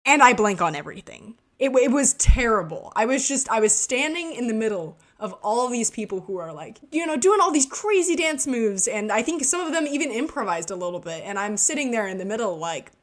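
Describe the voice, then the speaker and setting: A woman's voice: fairly high pitch, animated, fairly quick, few pauses. A woman vlogger telling an embarrassing story in a casual, self-deprecating way while narrating gameplay. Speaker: gaming vlogger.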